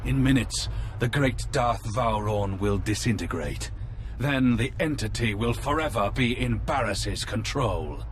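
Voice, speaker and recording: An actor playing a vengeful, rebuilt cyborg Sith lord in a video game, speaking in a heavy, menacing, theatrical way. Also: male voice, mid-range voice, lively intonation, close to an everyday voice. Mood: menacing, savoring. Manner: slow, theatrical, grandiose threats. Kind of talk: video game villain acting. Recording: clearly noisy game capture.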